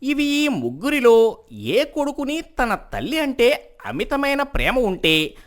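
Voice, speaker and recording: A woman's voice: sits high, very animated, slow pace, full character voice. A woman voicing a witty, confident male court jester in a moral story cartoon, speaking in an emphatic, declamatory way. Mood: reverent, assured. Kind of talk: animated moral story dialogue.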